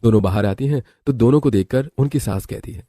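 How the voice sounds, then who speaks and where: Mellow, quick-talking male storyteller. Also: mid-range voice, no room sound, soft background music. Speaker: story narrator. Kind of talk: scripted storybook narration.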